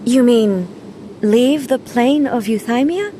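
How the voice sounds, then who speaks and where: Dignified female deity, high voice, measured formal delivery, aloof composure, video game dialogue, clearly noisy background. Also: expressive, dark tone. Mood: curious, composed. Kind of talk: video game character voice acting.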